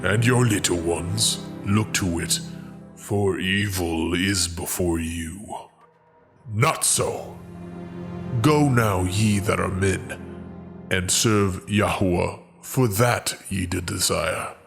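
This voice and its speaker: A man playing a haughty Egyptian king in a dramatized scripture reading, with weighty, deliberate delivery. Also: deep voice, gravelly, music in the background, heavily acted. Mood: stern, dismissive.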